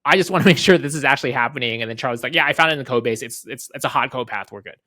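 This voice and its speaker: A man with a mid-pitched voice gives an informal software walkthrough at a brisk clip. He explains conversationally, regularly checking that the listener follows and acting out short bits of dialogue. The sound is dry, with very little room. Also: no room sound. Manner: conversational, often checks in with tag questions and acts out short exchanges. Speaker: guest product leader giving a software demo. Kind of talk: casual off-the-cuff product walkthrough.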